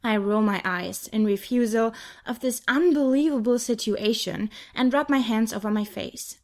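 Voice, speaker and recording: High-pitched woman narrating young adult fiction. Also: moderate pace. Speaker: audiobook narrator. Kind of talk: scripted first-person fiction narration.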